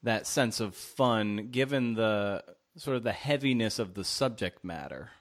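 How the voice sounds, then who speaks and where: Male podcast host, fairly low register, halting conversational delivery with repeated words. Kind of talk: conversational interview.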